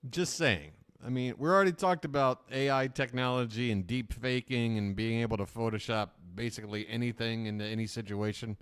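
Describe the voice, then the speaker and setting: A man's voice: steady tone, brisk, hardly any pauses, fairly bright tone, clear, resonant tone. A man hosting a live reaction stream, riffing sarcastically over a shopping broadcast and laughing often. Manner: sarcastic, jokey, laughs often, voice jumps high when mock-ranting.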